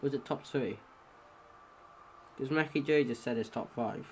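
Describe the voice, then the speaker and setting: A man's voice: medium pitch, normal speed, slight background noise. A man hosting a casual stream with a cold, talking in a rambling, unsure way with many restarts. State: has a cold, a bit congested. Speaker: stream host. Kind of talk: casual chat.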